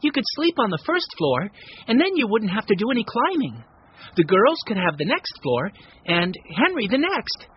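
Man doing a high, eager little boy, always hungry; husky, dry storybook read. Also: normal speed, muffled recording, exaggerated voice. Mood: excited. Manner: eager, excitable. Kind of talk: storybook reading.

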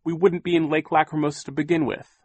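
A man's voice: medium pitch, expressive, moderate pace, husky, no echo, pitched above the performer's own voice. A man voicing a fierce, defiant teenage girl in a storybook reading.

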